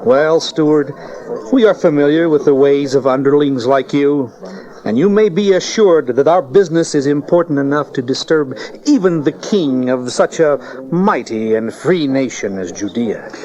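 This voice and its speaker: A man's voice: on the high side, animated, normal speed, warm tone, lightly acted. An actor playing a proud, clever young wise man from the East in a Bible audio drama, lofty and declamatory. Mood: lofty disdain. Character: proud young male magus, haughty, clever Eastern sage. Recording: dull cassette tape audio drama.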